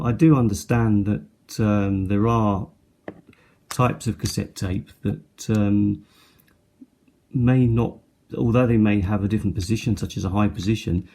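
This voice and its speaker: A middle-aged man giving a casual, unscripted product show-and-tell in a hesitant, halting way. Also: medium pitch, gravelly, dark tone. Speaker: product reviewer. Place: indoors at a tabletop. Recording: noticeable background noise, handling clicks.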